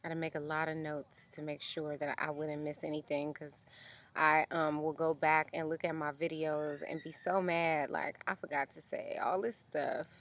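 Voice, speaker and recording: A woman's voice: low-pitched, little pitch movement, normal speed, fairly bright tone. A young woman vlogging outdoors at night, explaining things in a loose, chatty way full of ums. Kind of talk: casual explanatory vlog.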